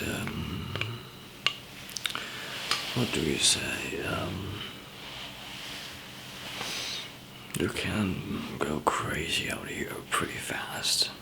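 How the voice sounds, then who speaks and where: A man playing a calm, easygoing survival trainer in an ASMR zombie apocalypse roleplay, whispering softly and hesitantly with a gravelly, breathy voice. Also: a bass voice, exaggerated voice, low-quality recording. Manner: hesitant, halting, soft-spoken. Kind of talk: ASMR roleplay, improvised monologue to listener.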